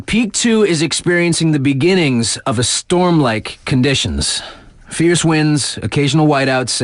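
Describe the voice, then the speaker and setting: Lively, slangy snowboard radio DJ played by an actor. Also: male voice, mid-range voice, no echo, studio quality, lightly acted. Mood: wry, hyped. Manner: slangy, playful, dramatic pauses and drawn-out words. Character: laid-back, thrill-loving male snowboard radio DJ. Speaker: radio DJ reading mountain weather updates. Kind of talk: casual radio weather report.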